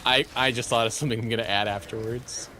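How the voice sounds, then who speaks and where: A young man streaming live, chatting casually; he is excitable, laughs often and is losing his voice. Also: medium pitch, fast, a little hiss or hum. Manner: excitable, laughs often, repeats words in bursts.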